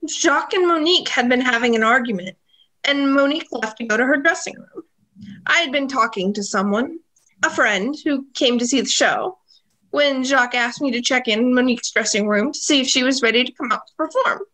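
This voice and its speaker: A woman's voice: high voice, a little fast, bright, forceful tone, rough recording. An actress playing an evasive young female club performer, theatrical and breezy in a melodramatic radio play. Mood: dramatic.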